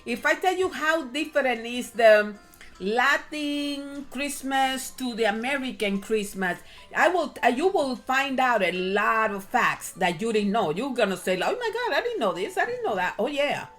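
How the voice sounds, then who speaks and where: Animated Puerto Rican woman telling stories. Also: fairly high pitch, moderate pace, faint music behind. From Puerto Rico. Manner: animated storytelling, many fillers. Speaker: guest. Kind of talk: casual chat.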